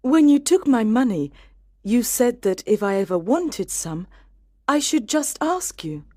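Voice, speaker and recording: A woman playing a secretive young wife in a storybook, speaking slowly and expressively with careful enunciation. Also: a little high, sing-song, dark tone, no room sound, studio quality. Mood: coaxing. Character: playful, secretive young wife, nervous under questioning. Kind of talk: slow scripted storybook dialogue.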